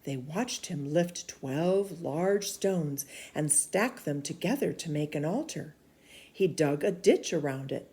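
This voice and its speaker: A woman reading a children's storybook aloud in an expressive, animated way, dropping to hushed asides. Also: sits low, slow pace. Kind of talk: read-aloud of a children's book.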